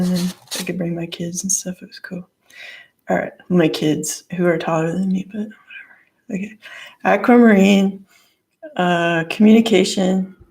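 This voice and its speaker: A woman with a hoarse, gravelly voice talks through a tarot and crystal card reading from her living room. She speaks slowly, with plenty of "like" and "you know," and there is a rough fry under her mid-pitched voice. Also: mellow, low-quality recording. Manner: rambling, lots of "like" and "you know". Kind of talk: casual spiritual card reading.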